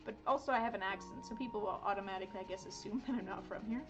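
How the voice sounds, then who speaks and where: A woman's voice: medium pitch, racing, over music. A woman in her thirties from Miami, chatting casually while drawing on a livestream, rambling with lots of fillers and self-corrections. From Miami, United States. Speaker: art streamer.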